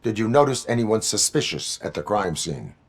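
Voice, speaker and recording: A man voicing a cyborg police officer who speaks in a flat, clipped, formal way. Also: fairly low pitch, normal speed. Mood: stern. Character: male cyborg police officer, calm and mechanical.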